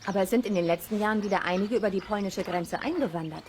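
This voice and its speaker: A woman's voice: medium pitch, fast, a little mellow, a little hiss or hum, natural voice. Actress as a polite woman biologist with birdsong behind. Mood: politely sceptical. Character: friendly female wolf biologist, knowledgeable, gently sceptical. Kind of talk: children's audio drama dialogue.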